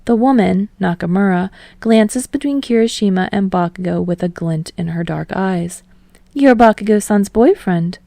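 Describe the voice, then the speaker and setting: A woman's voice: lowish voice, normal speed, gravelly, hardly any pauses, dark tone, no room sound. A woman reading a scripted story aloud as an audiobook narrator. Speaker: podfic narrator.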